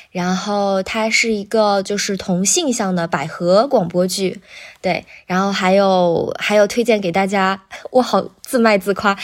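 A woman speaks as an interview guest, a working voice actor. Her pitch sits in the middle, and she talks casually, recounting things in an animated storytelling manner.

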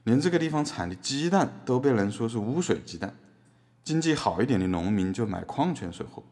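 A man's voice: medium pitch, lively intonation, a little fast, a little mellow, natural voice. A man playing a defiant activist artist on trial, wry and conversational, in a podcast drama. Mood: earnest recounting. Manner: wry, conversational, rhetorical questioning. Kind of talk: scripted podcast courtroom drama.

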